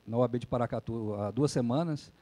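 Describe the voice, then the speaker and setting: A man's voice: mid-range voice, brisk, dark tone. A man, a lawyer, giving a conversational public lecture and often tagging sentences with a questioning "né?". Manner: conversational, frequent "né?" tag questions.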